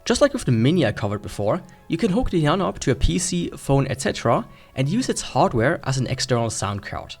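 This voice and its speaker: A man's voice: a little high, big pitch swings, fairly quick, few pauses, faint music behind. A man gives a scripted tech review in an explanatory, plain-spoken way.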